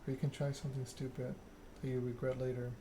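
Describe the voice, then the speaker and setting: A man acts out a coldly controlled vampire enforcer in a story game. He is curt and measured, with menace held in a flat, mid-pitched voice. Clear noise sits behind the voice. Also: close to an everyday voice. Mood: cold warning.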